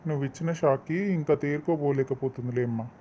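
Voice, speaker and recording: A man plays a middle-aged family man in a cartoon tale, in a dull, faintly noisy recording. His voice sits a bit high, and he speaks persuasively with a wry, teasing edge. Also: brisk, warm tone, close to an everyday voice. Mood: wry, gloating. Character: middle-aged husband and father, reasonable, teasing traditionalist. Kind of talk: cartoon moral story voice acting. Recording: dull lo-fi, slightly noisy track.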